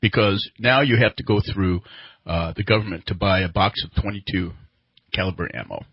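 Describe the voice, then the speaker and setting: Husky man hosting talk radio, slightly dull sound. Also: low-pitched, moderate pace, no room sound. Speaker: radio host. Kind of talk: live talk radio hosting with sponsor reads. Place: radio studio. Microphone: studio microphone.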